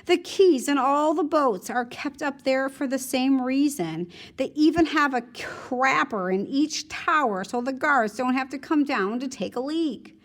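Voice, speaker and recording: Casting: female teacher, slightly high voice, expressive read-aloud storytelling, conversational delivery. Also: measured pace, hardly any pauses. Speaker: teacher reading a novel aloud to students.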